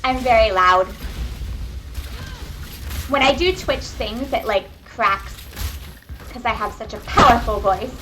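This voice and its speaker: A young woman giving excitable, chatty live commentary on a video game match, talking to her viewers from home on a webcam microphone. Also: fairly high pitch, takes it slowly, long pauses. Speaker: esports caster. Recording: webcam mic with faint noise.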